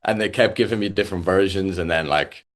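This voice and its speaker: A young Irish man, a musician guest, chatting casually in an interview with lots of fillers and restarts. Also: sits low. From Ireland. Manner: halting, many fillers and restarts.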